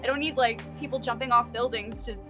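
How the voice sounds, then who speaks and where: A woman with a fairly high voice talks casually as a guest on a chat show. She is effusive, informal and giggly, and music plays clearly behind her. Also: fairly even intonation, quick pace. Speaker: interview guest.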